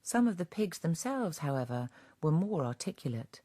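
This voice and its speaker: A man's voice: fairly high pitch, sing-song, normal speed, warm tone. A man giving dramatic scripted narration as the storyteller of a radio drama.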